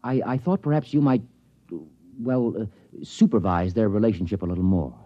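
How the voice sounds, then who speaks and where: A man's voice: fairly low pitch, lively intonation, moderate pace. An actor playing a worried middle-aged father, hesitant and guarded, in a 1950s radio drama. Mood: uneasy. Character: worried, evasive middle-aged father, discreetly informing on daughter. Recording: dull lo-fi 1950s radio recording.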